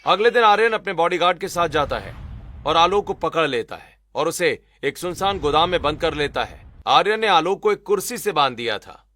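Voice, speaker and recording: Bright-voiced man narrating a story. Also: a little high, expressive, moderate pace. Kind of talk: scripted cartoon story narration.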